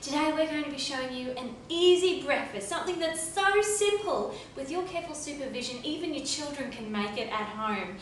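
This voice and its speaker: A woman in her thirties presents a mock cooking show with a chirpy, overly careful tone and a fairly high voice. She is recorded in a home kitchen by a camera across the room, so her voice comes from a distance. The room is very echoey and there is clear background noise. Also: lively intonation, brisk, hardly any pauses. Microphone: camera mic from across the room.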